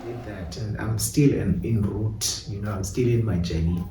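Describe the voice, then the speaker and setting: A man's voice: on the low side, moderate pace. South African man in a roomy, distant recording. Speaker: playwright and director being interviewed. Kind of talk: reflective interview talk. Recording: roomy, distant mic with faint noise.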